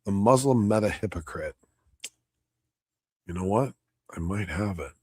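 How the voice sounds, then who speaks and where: Blunt, profane man with slightly hoarse voice. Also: fairly low pitch, animated, a little slow, a little mellow, dry recording, clean studio sound. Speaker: debate reviewer streaming live. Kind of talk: casual livestream commentary.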